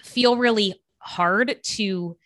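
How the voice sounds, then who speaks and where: A woman's voice: medium pitch, very slow, a bit bright, no room sound, studio quality. A woman hosting a podcast interview, chatty and casual with lots of filler words. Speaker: podcast host.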